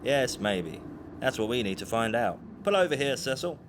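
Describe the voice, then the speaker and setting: An actor playing a discreet, courteous Scotland Yard detective and bodyguard in an audio drama, polite and official. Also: male voice, mid-range voice, sing-song, moderate pace, lightly acted. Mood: guarded, courteous. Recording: clear background vehicle noise.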